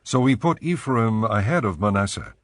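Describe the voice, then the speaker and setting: A man's voice: on the low side, lively intonation, normal speed, no room sound, clean studio sound. A man narrating a dramatized scripture audiobook in a scripted storytelling style.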